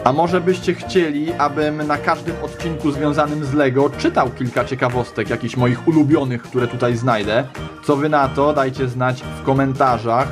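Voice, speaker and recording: A man's voice: medium pitch, steady tone, normal speed. A young man presenting a playful, informal toy review show in an enthusiastic, slangy way. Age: young adult. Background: background music.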